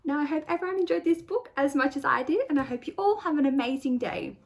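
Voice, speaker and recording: A woman teacher doing a storytelling read-aloud for young children, expressive and clearly phrased. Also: high voice, quick pace. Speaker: teacher reading a picture book to children.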